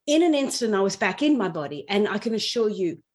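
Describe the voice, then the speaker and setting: A woman's voice: medium pitch, very animated, fairly quick, darkish tone. A middle-aged woman speaking as a podcast guest in an earnest, explanatory way, repeating phrases for emphasis as she shares in conversation. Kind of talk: conversational personal storytelling and explanation.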